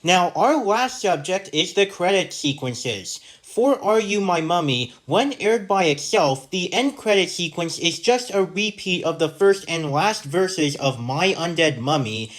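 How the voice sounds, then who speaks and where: Canadian man reading a measured review. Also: high voice, animated, a bit bright. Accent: general North American. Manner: measured, list-like, reading out notes. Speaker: podcast host and episode reviewer. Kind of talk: scripted review narration.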